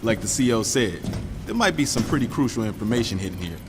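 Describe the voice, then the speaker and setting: An actor playing a cocky, easygoing soldier in a video game, with a casual, teasing manner. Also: male voice, lowish voice, lively intonation, fast, natural voice. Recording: long reverb, noisy outdoor ambience.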